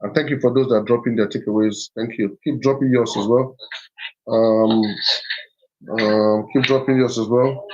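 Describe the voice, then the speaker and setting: A man's voice: medium pitch, fairly even intonation, normal speed, a little mellow, slight background noise. Man hosting a lo-fi live stream. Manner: repetitive, urging, many fillers. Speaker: livestream host and business mentor.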